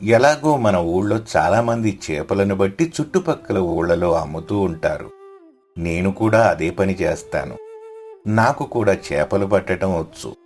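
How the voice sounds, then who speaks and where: A man's voice: deep voice, animated, unhurried, darkish tone, faint music behind. A man voicing a poor, devout villager in a moral story, earnest and humble. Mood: hopeful. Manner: earnest, pleading.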